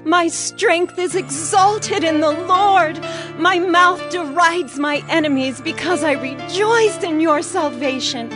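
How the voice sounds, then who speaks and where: An actress playing a devout, grateful biblical mother in a dramatized scripture reading, speaking in a solemn, fervent, measured way. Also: very high voice, over music. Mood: exultant, solemn.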